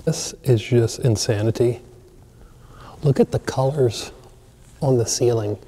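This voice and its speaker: A man excitedly narrating a walkthrough of an empty mansion, chatting to viewers as he films. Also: mid-range voice, unhurried, a little hiss or hum. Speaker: abandoned places explorer. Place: large empty mansion, indoors.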